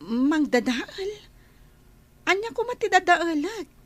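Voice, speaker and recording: A sensible, caring young woman on staff, with a high voice and an Ilocano accent. She speaks respectfully, in radio-drama style. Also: big pitch swings, slow pace, dry recording, exaggerated voice. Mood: indignant. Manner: respectful, reassuring. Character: caring, sensible young female employee advising her boss.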